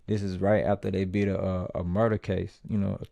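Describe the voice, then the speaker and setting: Male podcast host, dark mellow tone, dry close sound, relaxed rambling delivery. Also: deep voice, brisk, gravelly, no echo. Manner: laid-back, filler-heavy street talk. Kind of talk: casual commentary.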